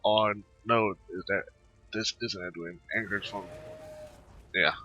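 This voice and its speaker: A man giving casual, halting commentary over a video game playthrough, mumbling asides and bits of on-screen text. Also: low voice, fairly even intonation, pushed and bright, soft background music. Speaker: gameplay video host. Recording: slightly muffled, dull microphone sound. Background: voice-over on gameplay recording.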